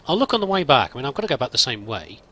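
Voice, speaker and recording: A man's voice: mid-range voice, very animated, very fast, bright, forceful tone. A man roleplaying a pilgrim in a game, musing and thinking aloud in a hesitant, wandering way. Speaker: let's play roleplayer. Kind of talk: in-character game commentary.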